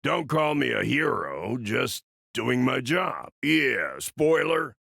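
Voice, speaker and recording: An actor playing a gruff, grizzled old part-machine gunfighter in a video game, with an American accent, a gravelly husky voice and a wry, folksy, grumbling drawl. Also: male voice, mid-range voice, sing-song, slow, bright, forceful tone, dry recording, studio quality, heavily acted. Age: older. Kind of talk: video game character voice lines.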